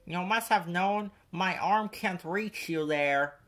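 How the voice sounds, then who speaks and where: A man's voice: high-pitched, slow, a bit bright, voice pushed up. A man voicing a bossy, grumpy crane in a children's storybook, scornful and emphatic. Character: bossy, grumpy, complaining male dockside crane.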